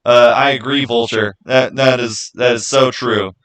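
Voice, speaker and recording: Male livestream host, mid-pitched voice, casual and halting, doubles back on his words while chatting to viewers. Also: steady tone, fairly bright tone. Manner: halting, repeats words and phrases.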